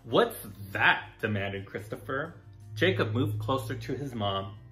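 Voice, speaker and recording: Animated, slow-paced man reading a storybook. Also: medium pitch, faint music behind. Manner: dramatic, deliberate. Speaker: drag queen storytime reader. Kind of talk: expressive children's picture book narration. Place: small furnished room indoors.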